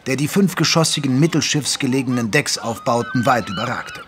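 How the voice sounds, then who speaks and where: An actor playing an observant gentleman companion who narrates a detective audio drama in a literary, measured style. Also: medium pitch, faint music behind, natural voice. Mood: narrating.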